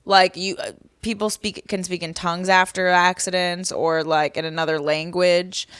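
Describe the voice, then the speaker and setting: A woman's voice: lowish voice, level tone, moderate pace, fairly bright tone, clear, resonant tone, dry recording, studio quality. A young woman from Boston co-hosting a podcast, rambling and musing in casual chat. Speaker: podcast co-host. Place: Miami home.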